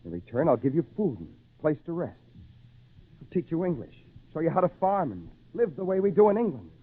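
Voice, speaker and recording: A man's voice: a little high, very animated, fairly quick, slightly rough. A man playing a kindly, lonely English castaway in an old-time radio drama, with patient, theatrical phrasing. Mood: patient, instructive. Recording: tinny narrow-band old radio recording.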